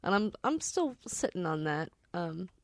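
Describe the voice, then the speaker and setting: A woman's voice: on the low side, sing-song, measured pace, dry recording. Wry female podcast co-host, dry recording. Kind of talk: casual, rambling storytelling chat.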